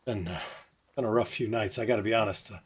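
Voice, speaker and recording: A man's voice: fairly low pitch, fast talker, breathy edge. A man voicing a tired, courteous male village leader in a tabletop game. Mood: weary, courteous.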